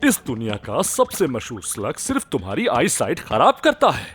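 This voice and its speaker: A man voicing a matter-of-fact young male sidekick in a cartoon dub, explaining things in an animated way. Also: mid-range voice, moderate pace, breathy edge, heavily acted. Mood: puzzled.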